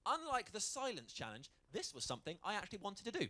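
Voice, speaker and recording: A man's voice: sits high, huge pitch swings, quick pace, noisy background. A man hosting a live launch event, upbeat and rallying a crowd of people to join in. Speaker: host. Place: indoor event space with live audience.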